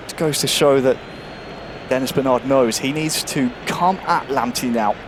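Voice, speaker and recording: A man doing live play-by-play commentary on an esports football match, rising with excitement on goals. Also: mid-range voice, moderate pace, noisy background. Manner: lively, builds excitement on goals.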